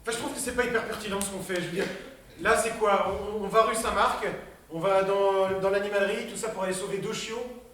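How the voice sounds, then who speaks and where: A man playing a hotheaded activist, high-pitched voice, echoey stage heard from afar. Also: fairly even intonation, a little fast, far from the mic, a little hiss or hum. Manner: bursts into shouting rants. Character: frustrated young male activist, hotheaded. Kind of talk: live improv theatre. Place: theatre stage.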